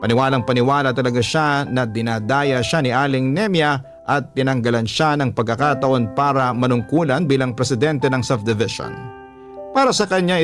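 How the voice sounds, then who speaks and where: Man narrating a letter over soft music. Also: mid-range voice. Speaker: radio drama narrator. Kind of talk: scripted first-person letter narration.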